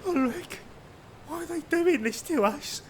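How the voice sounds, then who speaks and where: Woman acting as female war captive, very high pitch, slow, pleading, anguished, fantasy audio drama. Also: lively intonation, noisy background. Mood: frightened, pleading. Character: terrified female captive soldier pleading with her comrade.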